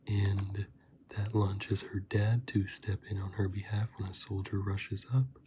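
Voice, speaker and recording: A man hosting a casual ASMR review, speaking softly and breathily in an unhurried, rambling way, often dropping into a whisper. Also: fairly low pitch, noisy background. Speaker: host of an ASMR review channel. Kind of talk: soft-spoken ASMR film review.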